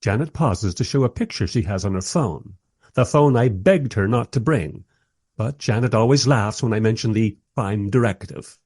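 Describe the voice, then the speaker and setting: A man's voice: fairly low pitch, moderate pace, darkish tone, breathy edge. An actor playing a wry, skeptical man who narrates his own adventure in a radio play, with a dry storytelling manner. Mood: skeptical, curious.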